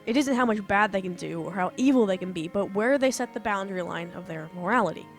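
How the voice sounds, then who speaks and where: A woman's voice: medium pitch, fast, faint music behind. A young woman, an author, explaining storytelling step by step in a casual, earnest way, her voice slightly off after being ill. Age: young adult. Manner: earnest, talks through points step by step. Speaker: author and writing teacher.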